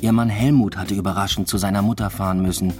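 Male actor as secretive restless lover, low register, understated, matter-of-fact, literary radio play. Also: steady tone, fast, faint music behind, natural voice. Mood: reflective, subdued. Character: secretive, restless man having an affair. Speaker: first-person narrator and lead actor. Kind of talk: literary radio drama, intimate narration and dialogue.

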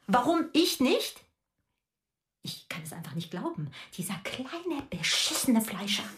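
A woman's voice: fairly high pitch, very animated, measured pace. A furious, fierce mother. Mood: bitter. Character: angry proud middle-aged mother, secular, fierce. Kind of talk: radio drama acting.

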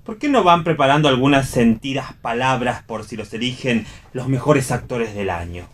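A man's voice: mid-range voice, animated, moderate pace, close to an everyday voice. Man as contrary restless man, Argentine accent, dry, sardonic, provocative, radio play. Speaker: actor in a read radio play. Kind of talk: read radio theatre dialogue.

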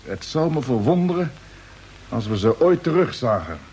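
An actor playing a grave, commanding spaceship captain in an old-style radio play. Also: male voice, medium pitch, big pitch swings, normal speed, a little mellow, husky. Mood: pondering, grave. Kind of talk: Dutch radio play acting, mid-century. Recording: noisy old radio drama recording.

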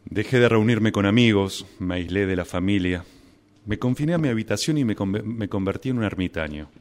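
A man's voice: fairly low pitch, expressive, fairly quick, lightly acted. An actor with an Argentine accent playing an anguished, obsessive, melodramatic man in a radio drama, stammering at times. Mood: rueful storytelling. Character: anguished, obsessive man deprived of his beloved mate. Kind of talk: radio drama acting with first-person narration.

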